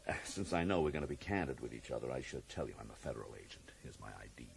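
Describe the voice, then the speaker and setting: An actor playing a cagey, drily amused federal agent in a radio drama, speaking with polite, evasive hesitations. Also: male voice, very deep voice, lively intonation, fast talker, creaky, slight background noise, exaggerated voice. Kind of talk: 1980s radio drama acting.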